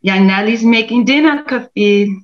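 A woman's voice: a little high, normal speed, close to an everyday voice. A woman playing a loving young Tanzanian mother in a screenplay table read on a video call. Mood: tender. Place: indoor room, video call. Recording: dull video-call audio.

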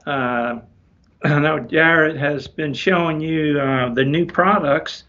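An elderly man chatting politely and haltingly as a guest on a live video call show. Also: a little high, sharp tone. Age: 70s or older. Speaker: company head, guest. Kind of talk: casual chat on a live show. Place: wood-panelled office, indoors. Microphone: tablet at arm's length on video call. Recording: dull lo-fi video call audio.

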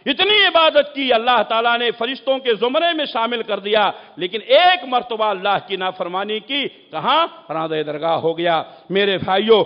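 A man preaching an Islamic sermon, exhorting listeners emphatically with rhetorical questions and repeated phrases. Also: high-pitched. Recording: muffled, long echoing hall reverb.

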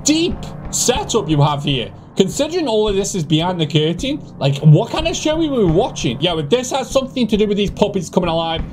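A young man giving casual, animated horror game commentary in a nervous, joking ramble. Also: high-pitched, a little fast, few pauses, a little hiss or hum. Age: young adult. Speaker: gaming video host. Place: small room with foam panels at home. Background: eerie game music with organ.

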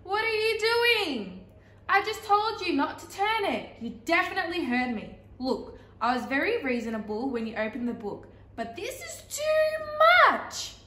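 A woman's voice: very high-pitched, very animated, measured pace, sharp tone, heavily acted. A woman voicing an exasperated, pleading storybook character in a dramatic, emphatic children's read-aloud. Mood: exasperated, outraged. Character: pleading, exasperated talking picture-book character, increasingly frantic. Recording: echoey, roomy sound.

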